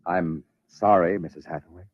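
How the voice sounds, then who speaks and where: Deep-voiced, earnest space captain. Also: male voice, fairly even intonation, moderate pace. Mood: grave, sympathetic. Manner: dramatic, earnest, hesitant at emotional moments. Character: concerned, earnest space captain, commanding yet kind. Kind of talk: 1950s radio drama acting. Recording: dull, muffled old radio recording.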